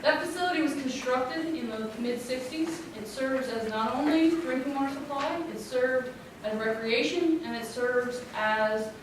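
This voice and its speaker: A woman with a loud, clear voice presenting a water infrastructure plan at a public community meeting. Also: high-pitched, normal speed, few pauses. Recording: echoey room, distant mic, background noise.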